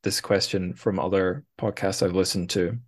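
Very low-voiced man hosting a podcast. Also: fairly even intonation, moderate pace, slightly rough. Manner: hesitant, self-correcting. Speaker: podcast host, interviewer. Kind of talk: casual interview chat.

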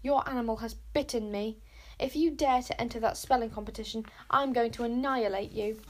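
A woman's voice: on the high side, fairly even intonation, a little fast, close to an everyday voice. A woman doing a sneering, taunting boy wizard. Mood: outraged. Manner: taunting, crooning and crowing. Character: sneering boy wizard rival, mocking bully.

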